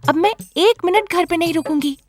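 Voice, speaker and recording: A woman plays a fame-hungry young daughter-in-law in an animated family tale. The voice is very high, plaintive and excitable, with scheming eagerness in every line. Faint music plays underneath. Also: a little fast. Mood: defiant, sly. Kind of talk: animated moral-story character voice.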